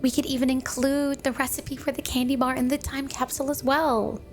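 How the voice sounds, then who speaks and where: A woman's voice: high voice, level tone, a little fast, faint music behind, close to an everyday voice. A woman playing an obsessively devoted snow woman wife in a flirty ASMR roleplay, gushing and cooing with a sweet but possessive manner. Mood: adoring, flirty. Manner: gushing, cooing, doting, dreamy.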